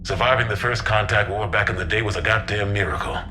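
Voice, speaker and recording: A man playing a seasoned, gruff older admiral with a blunt, frank manner in a video game. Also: fairly low pitch, steady tone, fast, sharp tone, slight background noise. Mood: frank, consoling. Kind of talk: dramatic video game acting.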